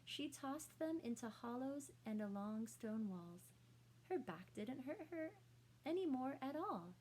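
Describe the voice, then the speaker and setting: Higher-voiced woman reading a storybook aloud. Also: expressive, measured pace, darkish tone, slight background noise, poor audio. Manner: explanatory, inviting questions to children. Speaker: teacher reading aloud to children. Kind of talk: storybook read-aloud with asides to young listeners.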